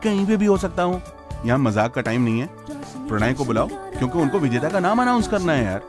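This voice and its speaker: A man's voice: fairly high pitch, big pitch swings, brisk, over music. A man hosting a radio show in a conversational, playful and slightly theatrical way.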